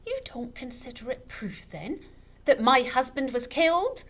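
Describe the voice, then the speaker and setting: A woman's voice: sits high, very animated, unhurried, a bit bright, voice pushed up, full character voice. A woman voicing an angry, grieving widow in a children's story, fierce and indignant. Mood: offended, dangerous. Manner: cold, fierce, rising to screeching. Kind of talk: children's audiobook character voice. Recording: noticeable background noise.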